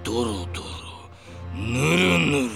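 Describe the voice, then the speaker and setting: A man acting a sadistic, lewd killer in an audio drama, gloating over a victim with a gravelly voice. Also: medium pitch, sing-song, over music, exaggerated voice. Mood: leering, gloating. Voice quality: creaky, gravelly. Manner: slow, lewd, gloating with cackling laughs. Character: sadistic leering male killer savoring his victim. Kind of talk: Japanese anime-style audio drama acting.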